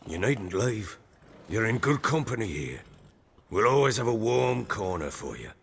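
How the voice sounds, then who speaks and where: An actor playing a rough, middle-aged warlord baron in a video game, gruff and husky yet sentimental. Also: male voice, fairly low pitch, very animated, normal speed, a bit bright, long reverb tail, heavily acted. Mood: warm, grateful. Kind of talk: dramatic video game acting.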